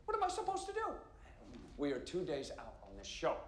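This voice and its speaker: An actor playing an irate, blustering radio station owner, exasperated and demanding, in a period drama. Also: male voice, a little high, huge pitch swings, a little fast. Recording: echoey room, faint noise.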